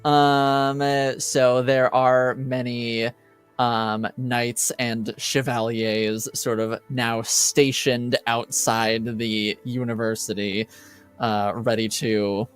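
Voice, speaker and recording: A man with a smooth, clear voice narrates a tabletop fantasy game as game master. He speaks slowly and haltingly, piecing each scene together as he goes, with faint music behind him. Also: medium pitch, level tone.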